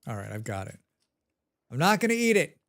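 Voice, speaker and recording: A man with a head cold talks through a survival game on a live stream, his pitch bouncing up and down as he goes. He hums little tunes under his breath and chats back to his viewers in a loose, casual way. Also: very animated, fairly quick, fairly bright tone. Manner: chatty, hums to himself, answers chat.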